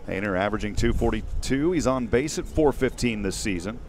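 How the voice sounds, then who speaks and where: A man in his thirties calling a baseball game live in short, measured phrases, speaking into a headset mic outdoors. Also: fairly low pitch, animated, slight background noise. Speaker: radio play-by-play baseball announcer. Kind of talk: live sports commentary. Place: outdoors at a windy ballpark. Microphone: headset boom mic.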